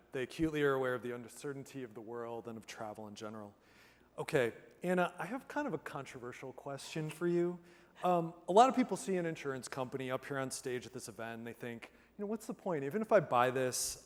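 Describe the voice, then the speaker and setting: A man's voice: mid-range voice, animated, quick pace. A man moderating an onstage interview with upbeat, conversational questions. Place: conference stage. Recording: reverberant conference hall.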